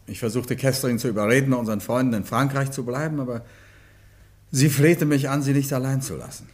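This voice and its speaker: An actor playing an anguished, defensive husband confessing in a radio crime drama, speaking haltingly. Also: male voice, mid-range voice, steady tone, close to an everyday voice. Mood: sombre, pleading. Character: anguished husband confessing, protective of his wife.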